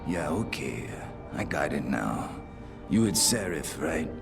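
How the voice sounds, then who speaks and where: A cocky, flippant male hacker from a video game, with a very deep voice that swings up and down a lot. He sounds far from the mic, with loud music under him. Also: very animated, takes it slowly, creaky, heavily acted. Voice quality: slangy, mocking. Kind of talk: video game cutscene dialogue. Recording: distant voice over loud music.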